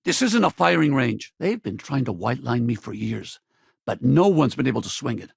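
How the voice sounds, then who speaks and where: An actor playing a rugged, wry space pilot in a video game, gravelly and husky, talking in a casual, blunt way. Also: male voice, mid-range voice, lively intonation, moderate pace, no room sound, clean studio sound, heavily acted. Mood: confident. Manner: casual, blunt, plain-spoken. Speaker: video game companion character. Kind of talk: scripted game dialogue and combat barks.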